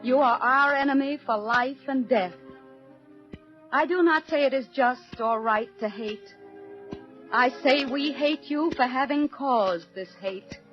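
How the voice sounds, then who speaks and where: Earnest American housewife, old muffled radio. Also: female voice, high-pitched, slow. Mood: proud, resolute. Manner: solemn, theatrical, emphatic. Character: earnest, defiant middle-aged American housewife and mother. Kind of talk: 1940s radio dramatic monologue. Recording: dull 1940s radio recording, faint music.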